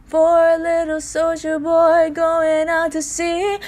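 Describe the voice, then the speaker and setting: A woman reciting an eerie nursery rhyme in a measured singsong, as the prologue of a stage play. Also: extremely high pitch, level tone, a little mellow. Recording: music under the voice.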